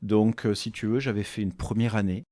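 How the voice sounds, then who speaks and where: A man's voice: fairly low pitch, fairly even intonation, fairly quick, mellow, no echo. A man in his forties, a real estate agency founder, chatting in an interview and telling stories with lots of repetition and acted-out dialogue. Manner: chatty, repeats his own phrases, acts out quoted dialogue. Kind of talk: casual interview storytelling.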